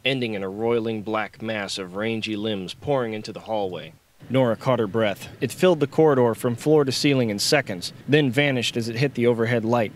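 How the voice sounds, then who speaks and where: A man's voice: fairly low pitch, a little hiss or hum. A man reading dramatic, scripted action fiction as an audiobook narrator.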